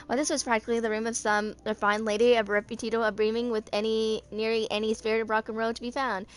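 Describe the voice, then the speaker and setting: A woman in her twenties reading written game lore aloud in an amateur narration, haltingly, stumbling over words and rereading them. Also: fairly high pitch, flat delivery. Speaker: video creator reading game character lore.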